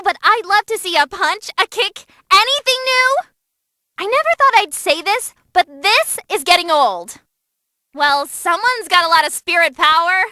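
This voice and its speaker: Squeaky, piercing, perky female demon announcer. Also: bright, forceful tone, no echo, clean studio sound, exaggerated voice. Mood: wry commentary. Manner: gleeful, dramatic, playful. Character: perky bloodthirsty non-human female tournament fight announcer. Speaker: tournament fight announcer. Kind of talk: excited ringside fight commentary.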